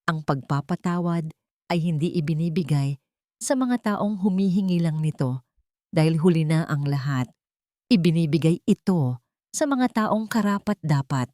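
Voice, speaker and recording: A woman's voice: fairly low pitch, normal speed, no echo, studio quality, close to an everyday voice. Icy wounded mother, voiced by a woman. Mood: cold. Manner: flat and emotionless. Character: cold, wounded young mother, now a CEO.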